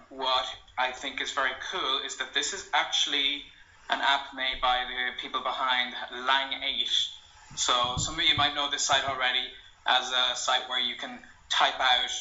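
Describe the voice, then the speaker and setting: A young man talks through an app in a loose, rambling way, filling pauses with ums and uhs. His voice is bright and cutting, and the recording has a roomy echo and a faint hiss behind him. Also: medium pitch. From Ireland. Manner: relaxed, meandering, with frequent ums and uhs. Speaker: language blogger. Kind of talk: casual app walkthrough. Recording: roomy, slightly dull home recording.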